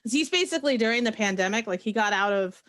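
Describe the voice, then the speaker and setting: A woman's voice: a little high, animated, quick pace, fairly bright tone, no room sound. Chatty woman, dry close sound. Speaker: guest on a webcast, Spanish professor. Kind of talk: casual chat.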